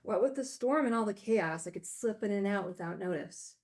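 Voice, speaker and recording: A woman's voice: medium pitch, lively intonation, brisk, a little mellow, natural voice. A woman playing a frank former addict confessing her regrets in a film table read. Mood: regretful, frank.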